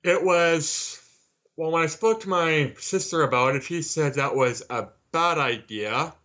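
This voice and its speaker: Guy author being interviewed, slow dry wry delivery, slightly high voice, bit dull lo-fi audio. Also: pushed and bright. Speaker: author, podcast guest. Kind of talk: interview answers.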